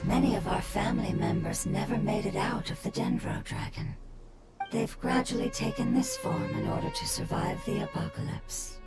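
A solemn, gentle water spirit from a video game. The voice is breathy and measured, with gravelly creak and a very wide pitch range. Loud music plays under it. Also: female voice, huge pitch swings, heavily acted. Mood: sorrowful. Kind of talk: scripted video game dialogue.

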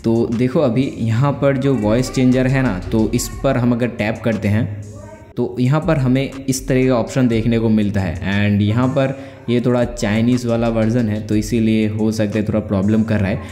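A man's voice: mid-range voice, fairly even intonation, moderate pace, mellow. A young man giving a casual, conversational tech tutorial, explaining step by step. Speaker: tech video host. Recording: echoey room with faint music. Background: faint music.

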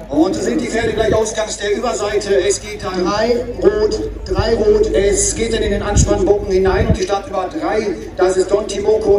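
High-pitched male race announcer, echoing from afar. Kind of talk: live harness racing commentary. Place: outdoors at a grass racetrack. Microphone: public-address speakers picked up from afar. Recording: distant echoing trackside PA, loud crowd.

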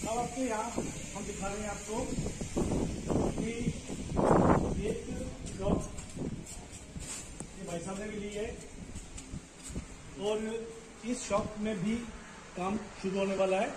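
Man, property tour walkthrough, unhurried, higher voice, hollow echo of bare concrete halls. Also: fairly even intonation. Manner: slow, explanatory, pointing things out. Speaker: property dealer giving a site tour. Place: unfinished commercial building, indoors and on upper floors. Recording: echoey, roomy building with ambient noise.

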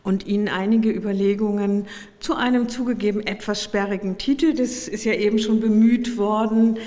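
A middle-aged woman delivers a public academic lecture in a formal, explanatory manner. Her voice sits at a medium pitch and is a little hoarse with a cold. She speaks into a podium microphone in a hall with long reverb. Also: fairly even intonation, moderate pace, dark tone. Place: indoor lecture room at a podium.

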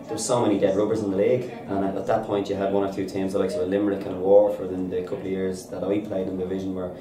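Irish manager, 30s, post-match chat: low, even-toned voice, rattled off fast, roomy echo, crowd noise behind. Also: male voice, far from the mic. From Ireland. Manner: upbeat, fast and repetitive, says "listen" a lot. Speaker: football manager. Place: bare indoor room at a stadium. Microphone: reporter's handheld recorder held out. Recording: echoey room, off-mic, crowd behind.

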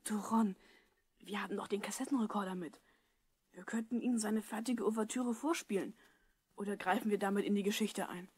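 A woman playing an eager, caring twelve-year-old boy in a German audio drama, earnest and polite, slightly husky. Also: medium pitch, fairly even intonation, a little fast, a little mellow, lightly acted.

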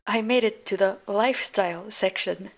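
A woman's voice: mid-range voice, normal speed. A woman in her thirties from Finland with a Finland-Swedish accent, whose first language is Swedish, talking candidly and rambling a little, with some swearing, in a casual vlog. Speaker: vlogger. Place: outdoor balcony of an apartment block. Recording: noisy outdoor street ambience.

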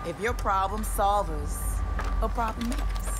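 An actress playing a brash, foul-mouthed young raider in a video game, blunt and sneering. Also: female voice, mid-range voice, unhurried, close to an everyday voice. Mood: threatening. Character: brash, foul-mouthed, violent twin sister of raider gang. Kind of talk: video game villain acting. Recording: clear vehicle and ambient noise behind.

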